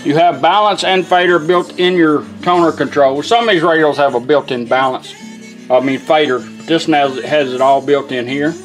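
A man's voice: on the high side, normal speed. A middle-aged man with a folksy, plainspoken manner giving an informal hands-on product demonstration. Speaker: vintage radio restorer demonstrating a product. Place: indoor workshop bench. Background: music from the radio.

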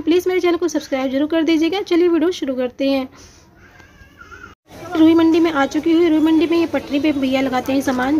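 A woman's voice: high voice, darkish tone, a little hiss or hum. A woman shopping vlogger giving a walking tour of an outdoor street market, talking chattily to viewers about prices with many hesitations. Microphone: handheld phone, camera pointed at goods.

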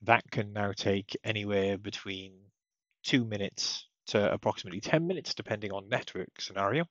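A man narrating a step-by-step software tutorial in a methodical, explanatory way. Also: fairly low pitch, moderate pace, dry recording, dull audio. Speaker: technical support tutorial presenter.

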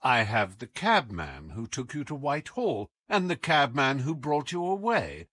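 A man voicing a sharp, commanding detective in an audiobook, coolly taunting, with deliberate, teasing emphasis. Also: mid-range voice, big pitch swings. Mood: coolly pressing. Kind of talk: audiobook character acting.

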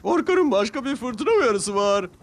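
Tiz sesle bağıran uyarıcı helikopter. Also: male voice, extremely high pitch, sing-song, a little slow, slight background noise, voice pushed up, full character voice. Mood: urgent warning. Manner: loud, urgent, cautioning. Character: alarmed male helicopter shouting storm warnings. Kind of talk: children's cartoon character voice.

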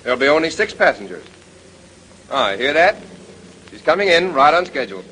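An actor playing a polite, helpful airline desk official in 1930s radio style. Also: male voice, a little high, sing-song, brisk, sharp tone. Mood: obliging. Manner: courteous, obliging. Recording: noisy old radio transcription.

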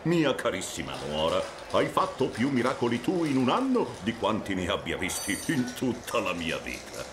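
An older, affectionate king, with a lowish voice that swings enormously in pitch. He sounds like a proud cartoon father-in-law, with clear ambient noise behind. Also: sing-song, normal speed. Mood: warm, admiring. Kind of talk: animated game dub.

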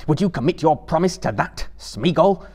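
A man's voice: on the high side, lively intonation, moderate pace, dark tone, voice pushed up. A man playing a stern, commanding young master in a dramatic book reading, solemn and emphatic. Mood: stern warning.

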